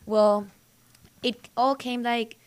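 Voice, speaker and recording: Young woman from colombia on radio, lively storytelling, lots of like. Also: a little high, slow pace, clean tone. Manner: lively storyteller, uses "like" often. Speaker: radio guest, entrepreneur and speaker.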